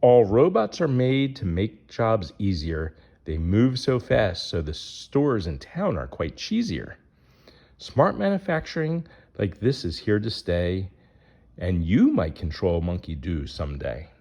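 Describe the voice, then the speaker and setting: A man's voice: lowish voice, sing-song, measured pace, a little mellow. A man reading a rhyming children's picture book aloud in a sing-song way, putting questions to young listeners.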